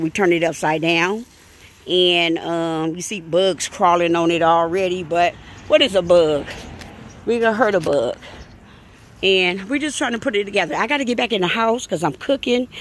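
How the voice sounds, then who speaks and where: A middle-aged woman, chatting casually to her viewers as she walks them through her kitchen and backyard. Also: mid-range voice. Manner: chatty, talks straight to viewers. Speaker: vlogger showing her family cookout. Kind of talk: casual vlog walkthrough. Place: home kitchen and backyard, outdoors.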